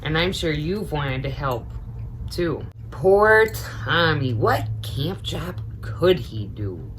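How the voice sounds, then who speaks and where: Middle-aged woman, low voice, slow pace, motherly storyteller, chatty asides, faint background noise. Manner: expressive, chatty, motherly, adds commentary. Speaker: storyteller reading aloud to children. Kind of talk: children's story time read-aloud with casual asides.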